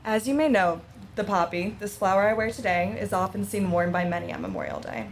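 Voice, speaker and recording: A woman delivering a prepared ceremonial reading in a formal, measured way, speaking outdoors. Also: medium pitch, animated, vocal fry. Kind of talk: prepared speech and poem recitation. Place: outdoor veterans' memorial ceremony. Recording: outdoor ceremony, background noise.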